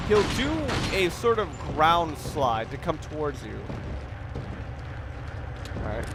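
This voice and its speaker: Guy with a slightly high voice rambling through a let's play, explains everything, noisy game sound behind. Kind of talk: casual gameplay commentary. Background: video game sounds.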